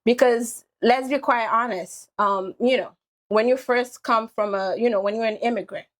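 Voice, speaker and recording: A woman's voice: on the high side, steady tone, moderate pace. A woman in her twenties from Haiti with a Haitian accent, a podcast guest talking through her story in a reflective, casual way, full of "you know". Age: 20s.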